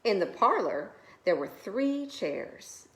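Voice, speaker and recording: Female preschool storyteller, animated melodic delivery for children's picture books, recorded in an echoey room. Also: medium pitch, slow pace. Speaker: preschool teacher reading stories. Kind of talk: expressive children's storybook reading.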